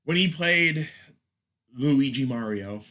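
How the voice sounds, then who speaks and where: A man's voice: medium pitch, expressive, slow pace, pushed and bright. A man hosting a casual film chat, with a wry, joking manner. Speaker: podcast host.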